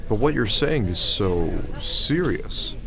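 Sheltered college freshman in a radio play, anxious, incredulous, very animated. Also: mid-range voice, takes it slowly, vocal fry, crowd noise. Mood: earnest, unsure. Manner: hesitant, incredulous. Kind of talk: audio drama acting.

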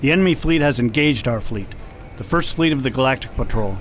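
A man's voice: medium pitch, animated, brisk, faint music behind, lightly acted. A man playing a hard-bitten, decisive space patrol commander in a science fiction radio drama, speaking in a blunt, folksy way. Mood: tense.

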